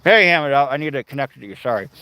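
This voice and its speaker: A man's voice: mid-range voice, lively intonation, rapid-fire, bright, forceful tone, a little hiss or hum, rough recording. A man rambling casually on a livestream from a car, talking to his dog and his viewers. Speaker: livestreamer on a road trip.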